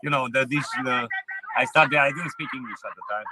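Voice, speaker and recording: A man in his forties from Romania, a trucker with a Romanian accent, answering interview questions plainly in halting non-native English. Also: medium pitch, background noise. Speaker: truck driver being interviewed. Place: inside a truck cab.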